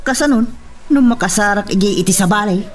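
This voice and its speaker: A man's voice: sits high, quick pace, music in the background, lightly acted. Actor as needling Filipino male buddy. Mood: teasing, needling. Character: needling male drinking buddy stirring up suspicion. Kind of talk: Filipino radio drama acting.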